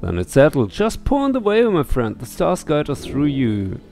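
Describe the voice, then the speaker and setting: A man voicing a friendly, earnest exile in a fantasy game, a calm peacemaker with an encouraging manner. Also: on the high side, normal speed, faint music behind. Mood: resolved. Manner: encouraging, sincere.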